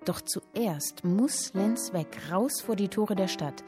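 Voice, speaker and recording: An actress playing a woman who reads a literary tale aloud in a measured, expressive storytelling manner, in a radio drama. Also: medium pitch, over music, lightly acted. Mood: measured, intent. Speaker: narrator reading a literary account. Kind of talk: dramatic literary narration in present tense.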